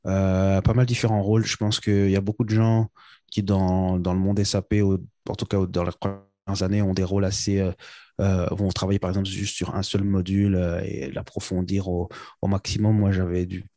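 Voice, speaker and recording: A man's voice: deep voice, flat delivery, a little fast, mellow, no room sound. A man from Belgium, a consultant guest in an interview, talking casually and hesitantly with many fillers and restarts.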